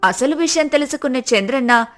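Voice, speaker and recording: Female storyteller, very high pitch, scripted moral-tale narration over faint music. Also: lively intonation, a little fast, a bit bright.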